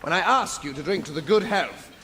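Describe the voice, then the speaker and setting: An actor playing a genial, good-humored nephew hosting a Christmas party, jovial and speechifying, in a radio drama. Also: male voice, sits high, expressive, brisk, pushed and bright, a little hiss or hum. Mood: grandly festive. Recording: hall reverb, crowd behind.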